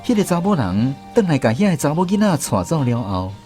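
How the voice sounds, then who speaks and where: A man's voice: a little high, very animated, fairly quick, warm tone, soft background music. A man with a Taiwanese accent reading scripted story narration in a radio drama.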